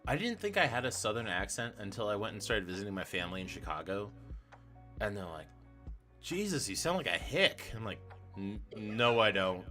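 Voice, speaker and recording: Young male co-host, bright low-ish voice, rambling and profane, casual chat, faint music behind. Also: very animated, a little fast. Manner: rambling, profane, self-correcting storyteller. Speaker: trivia stream co-host.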